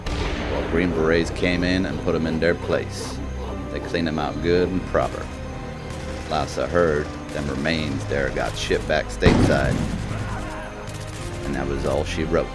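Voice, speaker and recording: A man playing a gruff, war-weary old soldier, telling his story in folksy, plain-spoken scripted narration. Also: very deep voice, steady tone, unhurried, long pauses, loud music behind the voice. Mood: grim, weary. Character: gruff, war-weary old male soldier recounting eerie wartime encounters. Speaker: voice actor. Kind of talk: scripted narration, film monologue voice reel.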